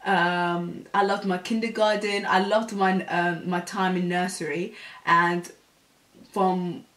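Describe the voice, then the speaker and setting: A woman's voice: lowish voice, flat delivery, slow, a bit bright, roomy sound. A young woman, chatting casually to camera as a vlogger. She talks in slang with lots of fillers.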